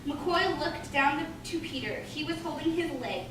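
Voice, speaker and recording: Woman, high voice, dramatic scripted narration, distant mic, noisy echoing hall. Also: expressive, a little slow. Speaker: radio play narrator. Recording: very echoey hall, distant mic, clear noise.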